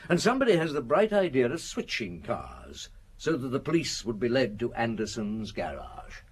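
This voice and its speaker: A relentless police chief inspector in a radio mystery. His husky voice swings widely in pitch as he lays out accusations. He is deliberate and probing, pausing for effect. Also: wildly swooping pitch. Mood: accusing, methodical. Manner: deliberate, probing, with dramatic pauses. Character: shrewd, relentless male French police chief inspector summing up. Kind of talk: radio detective drama acting.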